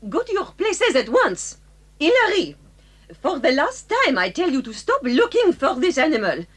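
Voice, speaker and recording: An actress playing a strict, easily exasperated French schoolmistress with a French accent and halting English, in a children's audio drama. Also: female voice, high voice, wildly swooping pitch. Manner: commanding, theatrical, halting in English.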